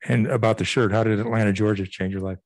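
A man's voice: fairly low pitch, steady tone, quick pace, no echo. A male reporter asking questions at a press conference, hesitant with many uhs.

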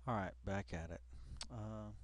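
A man's voice: fairly low pitch, measured pace, no room sound. A man reading an article aloud with casual, profane commentary, muttering asides and repeating phrases in an exasperated way. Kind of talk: rambling read-along commentary, casual and profane.

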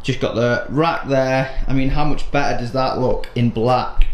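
A man's voice: mid-range voice, fairly even intonation, unhurried. A man in his thirties, chatting casually through his plans with lots of fillers, filmed on a handheld camera in his garage gym. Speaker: vlogger. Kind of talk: casual vlog narration. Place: garage home gym, indoors. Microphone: handheld camera at arm's length. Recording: echoey garage with faint hum.

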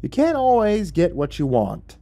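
A theatrical, mocking devil, pitched well above a normal male voice. He growls and gloats with lofty amusement, like a villain in a fantasy audiobook who enjoys teasing his victim. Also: huge pitch swings, unhurried, mellow, no room sound, faint music behind, full character voice. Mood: amused, lofty. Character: gleeful mocking devil, taunting and theatrical, sometimes a mountain lion.

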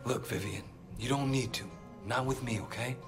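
An actor playing a tough undercover cop who is blunt and swears freely. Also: male voice, mid-range voice, normal speed, close to an everyday voice. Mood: subdued. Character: tough, hot-tempered male undercover cop. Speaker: undercover police officer. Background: game score music.

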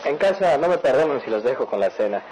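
Radionovela part for a male actor: nervous, courteous young man, medium pitch, old recording with faint noise. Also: very animated, fast, a little mellow, close to an everyday voice, poor audio. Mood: nervous, polite. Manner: hesitant, deferential. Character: anxious, polite young man hiding trouble. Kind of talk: radio soap opera acting.

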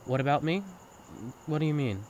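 A man's voice: fairly high pitch, animated, moderate pace, a little mellow, slight background noise, lightly acted. A young man acting in an intimate audio roleplay as a sibling's best friend, talking candidly and casually in a caring, teasing way. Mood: sincere, thoughtful. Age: young adult. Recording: night ambience with chirping crickets. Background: crickets and insects, outdoor ambience.

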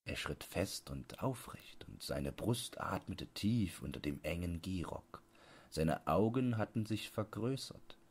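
A man with a low-pitched voice narrates literary prose from a script. His delivery is measured and deliberate, in the manner of a bedtime audiobook. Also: a little mellow, husky.

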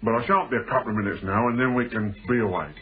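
An English actor playing a smooth, sinister man posing as a stranded traveller in a British radio thriller, breezy, knowing and over-familiar. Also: medium pitch, racing, lightly acted. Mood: glib, evasive. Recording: noisy, tinny old radio drama recording.

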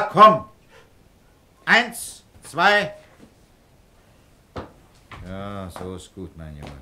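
A calm paternal detective in a radio drama, coaxing, pitch moves around a lot, bit echoey. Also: male voice, a little hiss or hum, exaggerated voice. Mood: firm coaxing, then gentle, paternal. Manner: paternal, patient, persuasive. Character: fatherly, calm middle-aged police commissioner, coaxing and probing. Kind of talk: German radio crime drama acting.

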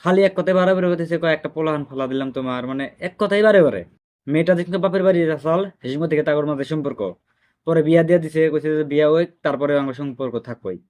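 Fast-talking, higher-pitched Bangladeshi man, incredulous. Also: darkish tone. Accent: regional Bangladeshi Bengali. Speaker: reaction video host. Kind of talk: casual reaction commentary.

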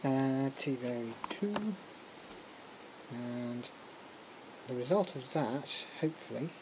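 Informal tutorial lecturer, mid-pitched, halting and self-interrupting, muttering asides, noisy recording. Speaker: music technology lecturer. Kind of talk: informal software tutorial, talking through a demonstration. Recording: clearly noisy recording with room ambience.

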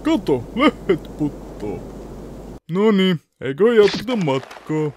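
Man doing a lively ninja teacher. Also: sits high, a little hiss or hum. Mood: unbothered. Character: laid-back, complaining adult male ninja teacher. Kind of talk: fan parody anime dub.

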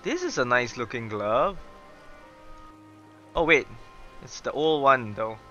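A young man doing excitable, chatty live game commentary, exclaiming and reading dialogue aloud. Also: mid-range voice, slow pace, highs cut off, faint music behind. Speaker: gaming streamer.

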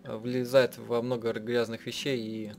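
A man narrating a visual novel playthrough as a live translator, haltingly, rephrasing as he goes. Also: mid-range voice, flat delivery, creaky, a little mellow, soft background music. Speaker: let's-play narrator and translator.

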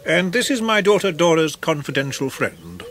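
A man's voice: fairly high pitch, very animated, normal speed, a bit bright. A man playing a genteel, pompous older father in a radio drama, formal and ponderous, with small hesitations. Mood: courteous. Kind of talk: 1990s radio drama acting.

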